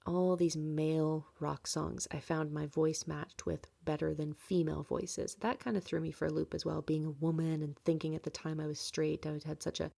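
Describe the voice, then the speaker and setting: Late 20s woman podcasting from home, low mellow voice, rambly and candid w lots of fillers. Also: little pitch movement, normal speed, vocal fry, few pauses. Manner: candid, rambling, frequent fillers and light laughs. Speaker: podcast host. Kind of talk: personal reflective monologue.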